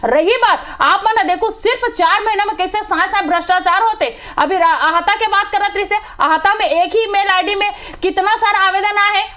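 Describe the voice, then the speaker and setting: A woman's voice: extremely high pitch, pushed and bright. A woman party spokesperson with a Chhattisgarhi accent arguing in a political TV debate, combative and emphatic as she makes accusations.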